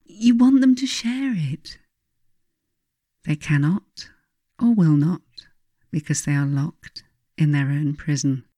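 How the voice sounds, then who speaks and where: A woman reading her own spiritual self-help book as scripted narration, speaking deliberately and earnestly straight to the listener. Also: low-pitched, dark tone, no echo, studio quality.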